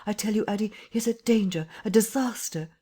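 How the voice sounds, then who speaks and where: A frantic, insistent man who rattles off urgent commands, as a woman reader puts him on. The voice sits well above the reader's own low tone and is pushed hard. It is a storybook figure, read aloud rather than played in a studio drama. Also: fairly quick, dark tone, slightly rough, lightly acted. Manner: urgent, pressing, breathless commands.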